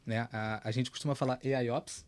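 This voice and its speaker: A man, a guest on a tech panel, talking in a relaxed, chatty way with many fillers. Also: medium pitch, fairly quick, clear, resonant tone. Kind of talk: casual panel discussion.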